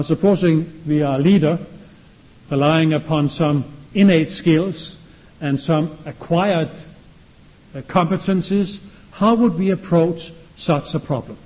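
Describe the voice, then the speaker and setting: A man with a Danish accent, speaking English as his second language, delivers a lecture at a steady classroom pace. His voice is warm and mellow and sits a little high for a man. He poses question after question to the room. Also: poor audio. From Denmark.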